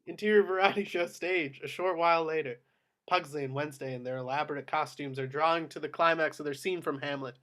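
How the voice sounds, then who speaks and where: A man's voice: on the high side, fast, fairly bright tone. A man narrating scene headings and stage directions for a script table read.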